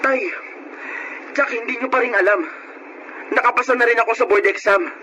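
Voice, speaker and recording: An actor with a Filipino accent playing a devoted young son and new police officer in a radio drama. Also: male voice, extremely high pitch, moderate pace, bright, forceful tone, slight background noise, low-quality recording. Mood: hurt, reproachful. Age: young adult. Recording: radio drama with music bed.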